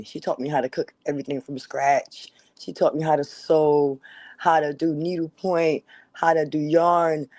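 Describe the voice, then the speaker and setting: A woman guest on a talk show, telling heartfelt stories about family in a steady way. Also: sits low, no room sound. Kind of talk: casual talk show chat over phone.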